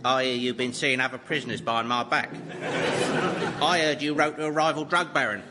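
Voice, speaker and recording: An actor playing a needy, hot-tempered gangster prisoner in a radio sitcom, with a wounded, pleading manner. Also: male voice, mid-range voice, fairly even intonation, fairly quick, heavy background noise, lightly acted.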